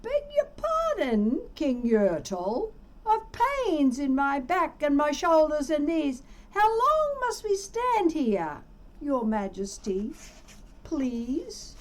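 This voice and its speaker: A woman's voice: sits high, wildly swooping pitch, takes it slowly, pitched above the performer's own voice, heavily acted. Woman as a meek, plaintive little turtle. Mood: meek, pleading. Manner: polite, plaintive, groaning. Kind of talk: children's storybook read-aloud.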